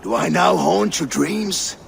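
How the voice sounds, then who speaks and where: An actor playing a cruel, gloating male warlord villain who taunts slowly and menacingly in a video game cutscene. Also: high voice, creaky, slight background noise, exaggerated voice. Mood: taunting.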